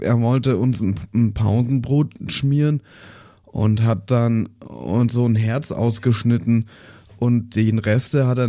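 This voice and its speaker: A man's voice: mid-range voice, mellow, no echo, studio quality. A man on a podcast improvising a story in a conversational way. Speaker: podcast cast member.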